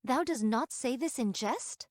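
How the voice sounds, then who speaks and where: A woman's voice: sits high, expressive, measured pace, no room sound, clean studio sound. An actress playing a weary, grave female warrior in a game, with stately, archaic phrasing. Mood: incredulous.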